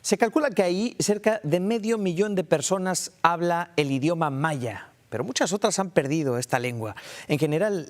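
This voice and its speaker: A man narrating a TV news report in a clear, measured scripted style. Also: a little high, a little mellow.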